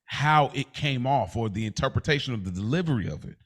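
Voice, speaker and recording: A man's voice: mid-range voice, animated, fast. A man co-hosting a podcast in casual debate, explaining himself and restating his point to clarify it. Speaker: podcast co-host.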